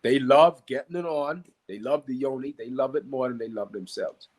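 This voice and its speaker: Bahamian guy, bit raspy, podcast guest teaching spiritual stuff, really emphatic, keeps repeating his points. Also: mid-range voice, normal speed. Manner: emphatic, repeats key phrases, checks in with rhetorical questions.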